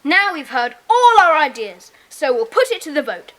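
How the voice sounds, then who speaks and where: Bright, high-voiced bossy schoolboy. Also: female voice, sing-song, normal speed. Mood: eager, commanding. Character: bossy, brisk schoolboy leader of a club. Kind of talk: children's audio drama acting. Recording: faintly noisy cassette tape.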